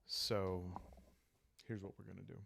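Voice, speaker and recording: A man calmly thinking aloud in an unhurried, casual way as he plays and narrates a solo roleplaying game. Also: low-pitched, vocal fry, slight background noise. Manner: calm, unhurried, musing, meant for relaxed listening. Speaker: host and solo player of a journaling roleplaying game.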